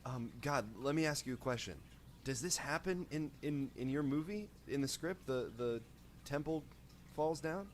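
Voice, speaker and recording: Halting man role-playing, faint noise behind. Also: on the high side. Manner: halting, thinking aloud. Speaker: tabletop game player. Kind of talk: casual improvised role-play.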